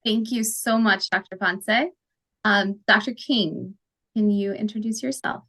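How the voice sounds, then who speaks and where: A woman's voice: mid-range voice, fairly even intonation, a little slow, a bit bright. A woman hosting an online parent group meeting, talking casually with fillers and introducing speakers.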